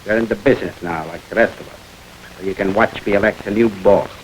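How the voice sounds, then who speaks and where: A man plays a brash gangster with a deep, low voice, sneering and taunting in tough street slang. He is hungry for power, in vintage noir radio style. The old recording has a light layer of noise. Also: quick pace, close to an everyday voice, rough recording. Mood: menacing. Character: brash ambitious male gangster challenging his boss, sneering. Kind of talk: 1940s film noir radio acting.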